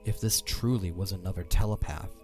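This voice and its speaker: Low-voiced male storyteller. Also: fairly even intonation, a little fast. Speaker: narrator. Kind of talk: scripted audio drama narration. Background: soft music.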